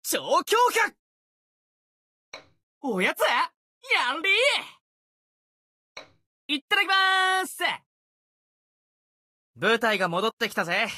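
A man voicing a brash, boastful young sword warrior for an anime-style game, youthful and husky-edged, with cocky, casual boyish speech. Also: extremely high pitch, sing-song, extremely slow, long pauses, heavily acted. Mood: upbeat, confident. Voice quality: youthful, bright, husky edge.

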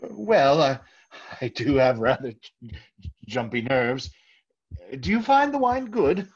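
A man playing a nervous, overpolite murderer hiding his guilt, halting and husky, in old-time radio theater style. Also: medium pitch, sing-song, heavily acted. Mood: jittery. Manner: halting, over-courteous, stammering. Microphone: video call. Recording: dull, lo-fi video call audio.

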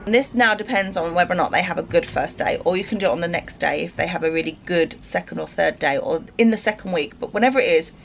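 A woman's voice: on the low side, fast talker, vocal fry, hardly any pauses. English woman chatting, faint background noise. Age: 30s. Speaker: family vlogger, mother. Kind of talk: casual vlog chat to camera. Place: rooms in a family home. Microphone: camera at arm's length or nearby.

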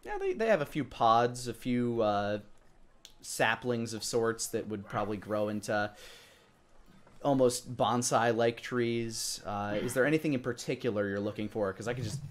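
Guy in his 30s running a dnd game, mid pitched voice, stops to think mid sentence. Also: normal speed. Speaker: dungeon master, host. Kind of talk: improvised tabletop game narration.

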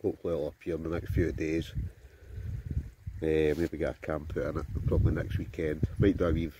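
A man's voice: rumbling low, level tone, a little fast, noisy background. A Scottish man in his forties, chatting casually to a phone camera held at arm's length, outdoors by a river and inside a tent, rambling with many fillers. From Scotland. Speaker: vlogger.

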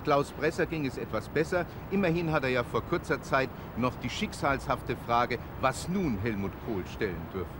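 Older male correspondent, reflective to-camera piece, traffic rumble from the street below. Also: moderate pace, few pauses. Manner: calm, reflective, retakes lines. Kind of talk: on-camera recollection to camera. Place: rooftop outdoors in a big city. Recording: outdoor take with city traffic noise.

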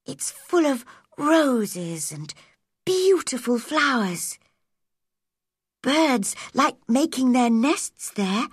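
Woman voicing a high, earnest storybook girl. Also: no room sound, studio quality, heavily acted. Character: kind, firm, spirited young girl. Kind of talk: storybook character reading.

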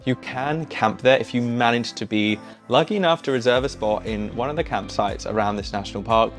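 A man's voice: mid-range voice, brisk, soft background music. A young man giving enthusiastic, chatty travel tips to camera while seated in a small living room. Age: young adult. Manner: easygoing, enthusiastic, chatty with fillers. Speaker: travel vlogger. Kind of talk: casual travel recommendations to camera.

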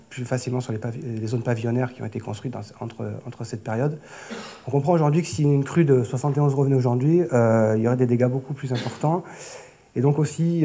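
Mellow, hesitant French man presenting. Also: medium pitch. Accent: French from France. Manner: hesitant, often repeating and rephrasing words. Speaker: flood prevention project manager, conference speaker. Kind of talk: conference presentation, semi-improvised. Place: auditorium stage at a lectern. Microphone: lectern gooseneck microphone.

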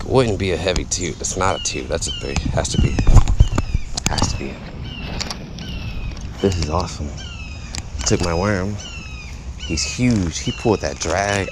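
A man with a fairly low voice talks in an informal, unhurried way, often repeating himself. His pitch swings widely as he chats like a solo fishing vlogger. It is an outdoor action-camera recording with clear background noise. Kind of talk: casual solo vlog chat while fishing. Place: outdoors in a backyard beside a small pond. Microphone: body-worn action camera.

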